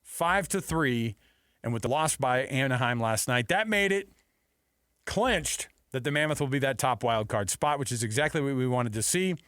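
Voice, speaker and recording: A man hosting a sports radio show, talking casually in an animated, enthusiastic, conversational way. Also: medium pitch, moderate pace, clean tone, dry recording, clean studio sound.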